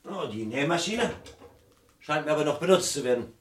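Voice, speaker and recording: Sly, husky-voiced police inspector. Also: male voice, fairly high pitch, animated, brisk. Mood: probing, needling. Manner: feigned politeness, probing questions, theatrical emphasis. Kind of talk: vintage German crime radio play acting.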